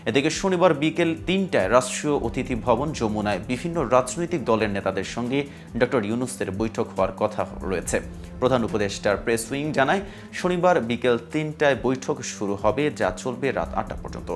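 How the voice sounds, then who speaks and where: A man with a Bangladeshi accent presenting scripted news in a formal, measured newsreader delivery. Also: mid-range voice, animated. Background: music bed.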